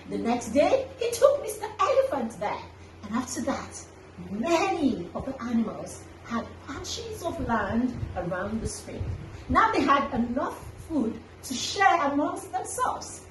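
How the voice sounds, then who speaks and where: A woman hosting a children's story time, telling an animal tale with dramatic, deliberate emphasis. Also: sits high. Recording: echoey room, distant mic, faint noise.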